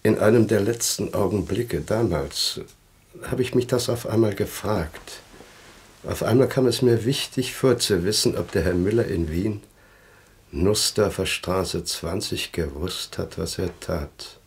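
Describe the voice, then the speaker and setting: An actor playing a grave, bitter survivor back in Vienna in a German radio play, speaking in a deliberate, probing way. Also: male voice, fairly low pitch, breathy edge, heavily acted. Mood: brooding, grave. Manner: deliberate, brooding, probing questions.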